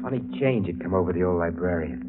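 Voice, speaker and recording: Brash hard-boiled killer, muffled radio. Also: male voice, low voice, expressive, quick pace. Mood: tense narration. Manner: slangy, blunt, hard-boiled delivery. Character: brash, greedy, menacing male killer narrating his crime. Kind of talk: 1940s radio drama acting. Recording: muffled vintage radio recording with music.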